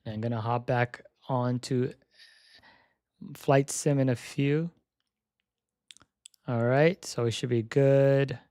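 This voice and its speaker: A young man live-streaming a flight sim session, chatting casually and thinking aloud as he sets up, his voice slightly hoarse. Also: medium pitch, steady tone, slow pace, pauses often, darkish tone, no echo, studio quality. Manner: repeats "yep" while checking items.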